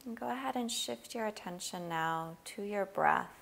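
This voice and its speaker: A woman leading a guided body scan meditation, giving gentle, unhurried instructions with long pauses. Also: mid-range voice, creaky, slight background noise.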